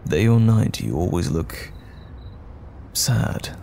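A man acting as a tender, worried boyfriend in an intimate audio roleplay, speaking gently and soothingly to comfort a troubled partner at night. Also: low voice, expressive, slow pace, gravelly, a little hiss or hum, exaggerated voice. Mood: tender concern. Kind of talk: intimate roleplay audio drama, soft bedside talk.

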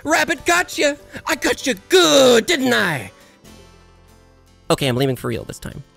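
Woman playing a wildly animated, mischievous robot girl. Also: high voice, measured pace, gravelly, slightly rough, soft background music, full character voice. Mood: gleeful. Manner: teasing, exclamatory. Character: mischievous, gloating female cartoon robot. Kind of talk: animated comedy acting.

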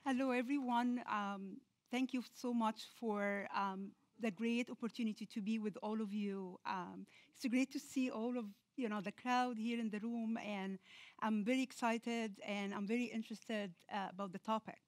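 A woman in her forties, originally from Egypt, giving a public lecture in a hall with a lapel mic, talking conversationally with many pauses and fillers. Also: fairly high pitch, fairly even intonation. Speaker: guest lecturer. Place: lecture hall with seated audience.

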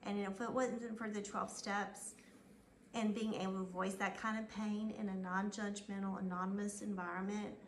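A woman's voice: mid-range voice, level tone, normal speed. A woman, a participant in a study group, making an earnest comment in discussion. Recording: echoey room, faint background noise.

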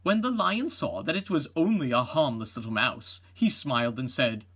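A middle-aged man reading a children's storybook aloud as an expressive narrator. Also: mid-range voice, normal speed, slightly rough.

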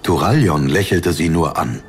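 A man narrating a fantasy audiobook in a measured, serious storytelling manner. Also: extremely low pitch. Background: music.

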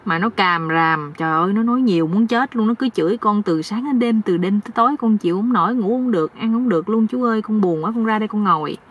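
A woman's voice: medium pitch, fast talker, hardly any pauses, husky, muffled recording, lightly acted. A woman voicing a gloomy, naive young husband who complains and pleads respectfully in a comic story. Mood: miserable complaining.

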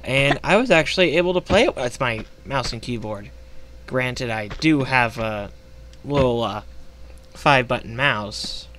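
A hoarse man rants indignantly over gameplay, swearing freely as he talks into a gaming headset. His voice sits at an ordinary middle pitch. A steady hiss and occasional clicks sit under the recording. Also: measured pace. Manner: profane, indignant ranting. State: losing his voice. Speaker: gaming vlogger. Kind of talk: casual ranting commentary over gameplay.

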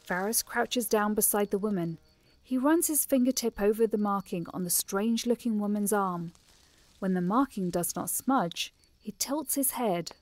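A woman narrating a fantasy audio drama as a scripted story, in a measured, descriptive manner. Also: mid-range voice, no room sound, close to an everyday voice. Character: female narrator of a fantasy adventure.

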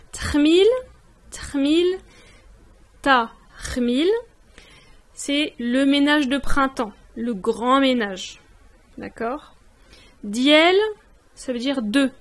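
A woman giving a language lesson in a voice-over, saying each word slowly, repeating it and translating it. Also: sits high, a bit bright. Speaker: language teacher. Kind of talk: slow spoken language lesson. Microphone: voice-over on text slides.

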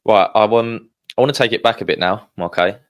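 A man hosting a casual interview, prompting his guest with friendly questions and quick affirmations. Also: fairly low pitch. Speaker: podcast host.

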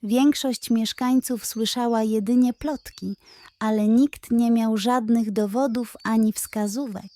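Female bedtime storyteller, gentle, measured, warm and mellow. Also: on the high side, steady tone, dry recording.

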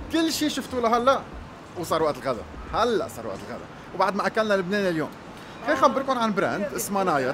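A middle-aged man with a Lebanese accent hosts his food vlog, talking at a racing clip in a slightly high voice. He moves animatedly between Arabic and English. It is recorded on a phone out on a noisy city street, with traffic clearly audible. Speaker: food vlogger. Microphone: handheld phone at arm's length. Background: street traffic noise.

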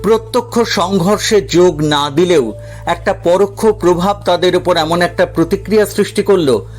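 A man's voice: high voice, normal speed. A man reading a scripted story as a narrator, with dramatic storytelling delivery. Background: background music.

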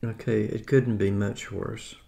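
Gravelly older man, chatty and wry. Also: lowish voice, level tone, measured pace, mellow. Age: 70s. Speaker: vlogger. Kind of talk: casual talk while eating. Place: dining room at home. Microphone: camera on table.

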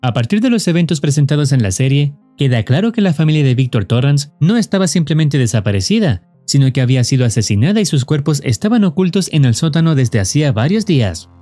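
A man giving scripted explanatory narration as a horror video narrator, measured and formal. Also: on the high side, big pitch swings, mellow. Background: background music.

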